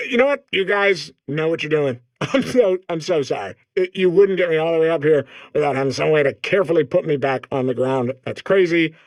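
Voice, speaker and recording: A man's voice: a little high, fairly quick, no echo, natural voice, rough recording. A man voicing an eager, naive robot core in a comedic video game, babbling apologetically and second-guessing himself. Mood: nervously cheerful.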